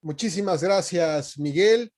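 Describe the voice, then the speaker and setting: A man's voice: high-pitched, slow, a little mellow. A man moderating a panel who thanks one speaker and courteously hands the floor to the next. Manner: brief, courteous handover.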